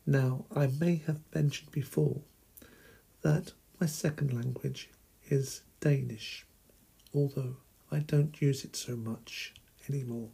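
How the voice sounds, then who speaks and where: Slow gravelly-voiced podcast host. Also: male voice, medium pitch, dark tone. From England. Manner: slow, deliberate and plain. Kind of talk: slow solo podcast monologue.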